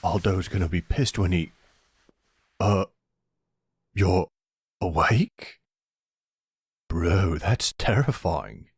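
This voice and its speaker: Male actor as friendly dim mob lackey, stammering, slangy, slightly husky, dry close-up sound. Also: low voice, animated, takes it slowly, long pauses, dry recording, heavily acted. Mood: startled, awkward. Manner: hesitant, stammering, slangy bro talk. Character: nervous, chatty, friendly dumb mafia henchman. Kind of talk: audio roleplay drama.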